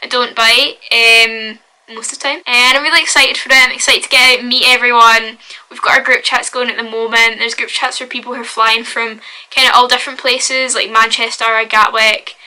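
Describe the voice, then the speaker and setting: A woman's voice: fairly high pitch, little pitch movement, fairly quick, pushed and bright, clear, resonant tone. A young woman with a Glasgow accent chatting casually and cheerfully to camera in her bedroom, full of "like" and "wee.". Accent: Glaswegian. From Scotland. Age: young adult. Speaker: vlogger. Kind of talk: casual vlog chat.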